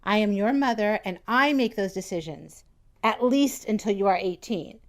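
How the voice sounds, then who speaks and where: A woman's voice: medium pitch, normal speed, natural voice. A woman playing a stern, worried mother of a teenager in an audio horror drama.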